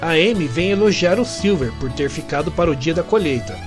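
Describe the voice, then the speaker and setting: Animated, higher-pitched Brazilian man narrating stories. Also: a little fast, over music. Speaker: narrator and fan translator of comic stories. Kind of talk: conversational comic-story recap narration.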